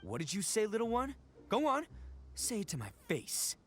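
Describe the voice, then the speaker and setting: An actor playing a loud, big-hearted oni gang boss who blusters, laughs a lot and stammers when caught out. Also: male voice, sits high, huge pitch swings, moderate pace. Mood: threatening. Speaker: game character. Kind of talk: video game voice acting. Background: faint game music.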